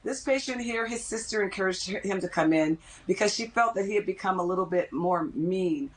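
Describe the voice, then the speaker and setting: A middle-aged woman speaking as a dentist in an interview, explaining things in a measured way. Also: mid-range voice, lively intonation, low-quality recording. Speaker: dentist interviewed as expert guest.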